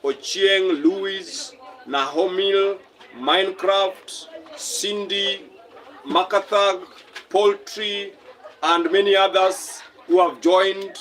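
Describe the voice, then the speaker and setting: A man's voice: high voice, steady tone, very slow, fairly bright tone, rough recording. Kenyan man chatting on a live stream. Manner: reads viewer comments aloud and repeats phrases. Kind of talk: casual live-stream chat.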